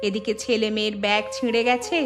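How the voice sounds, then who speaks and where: A woman voicing a poor, weary village mother in a cartoon, nagging and sharp-tongued in rustic colloquial speech. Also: mid-range voice, flat delivery, quick pace, close to an everyday voice. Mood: resigned complaint. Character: harried poor village mother, scolding, weary and long-suffering. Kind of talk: cartoon story dialogue. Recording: music behind the voice.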